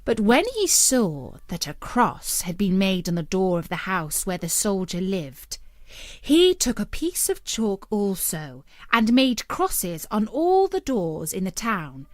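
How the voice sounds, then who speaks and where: Woman reading a fairytale, really lively pitch, takes her time, dry close sound. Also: no room sound. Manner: expressive, deliberate storybook delivery. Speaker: storyteller. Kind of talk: scripted fairytale narration.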